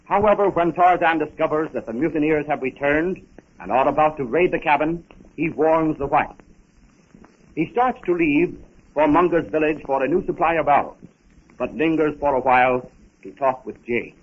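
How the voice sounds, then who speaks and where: A man's voice: on the high side, moderate pace. A man announcing a scripted adventure serial in a formal, dramatic 1930s radio style. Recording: muffled 1930s radio recording.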